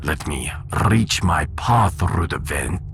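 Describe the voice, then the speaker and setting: A man's voice: a bass voice, animated, takes it slowly, noisy background, pitched below the performer's own voice, exaggerated voice. A man voicing a menacing, imperious talking cat with grandiose villain delivery in a sci-fi audio drama.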